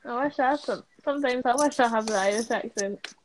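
A teenage girl with a Scottish accent in a casual chat, talking softly. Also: on the high side, fairly quick, a little hiss or hum. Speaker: friend.

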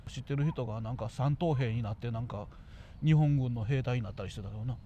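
A man's voice: medium pitch, quick pace, warm tone. A man with a Kansai Japanese accent co-hosting a radio talk in offhand, familiar dialect with casual comic banter. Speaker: radio talk show co-host. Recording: dull lo-fi recording with faint noise.

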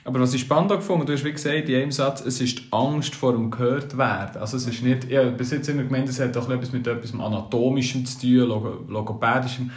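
Dude asking probing questions, chill slangy talk, roomy echo. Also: male voice, medium pitch, quick pace, hardly any pauses. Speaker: podcast co-host. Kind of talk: casual podcast chat. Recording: roomy echoey sound.

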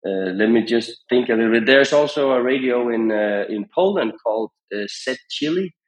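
A man giving conversational advice as a podcast guest, in halting phrases with frequent repetitions. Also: mid-range voice, lively intonation, poor audio. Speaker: podcast guest, founder of a radio monitoring company.

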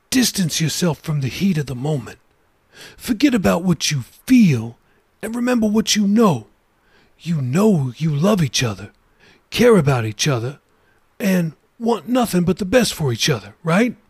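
A male narrator reads an older pastor with a raised, gravelly, husky voice. He counsels a couple in a gentle, measured way, like a kindly elder in a storybook reading, recorded dry and close. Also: fairly high pitch, no room sound, voice pushed up, exaggerated voice.

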